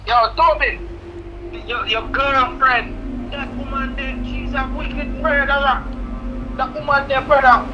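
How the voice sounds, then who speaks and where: Man playing a streetwise Jamaican guy with a very high voice. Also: level tone, a little slow, highs cut off. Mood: warning. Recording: noisy background.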